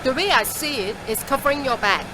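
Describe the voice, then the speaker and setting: A woman's voice: high voice, animated, fairly quick, noisy background. A woman playing a seasoned, superstitious transport driver in an audio drama, matter-of-fact and assured. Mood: wry, assured. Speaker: voice actor in a fiction podcast.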